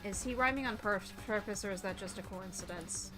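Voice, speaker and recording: A woman streamer doing a playful let's-play, reading game text aloud and reacting with animated exclamations. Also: mid-range voice, brisk, over music.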